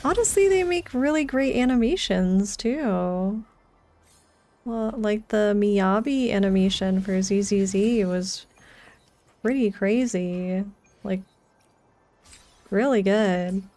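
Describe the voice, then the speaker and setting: A woman's voice: medium pitch, mellow. A woman chatting casually as she streams a video game, talking close to the microphone. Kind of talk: casual livestream chat and commentary.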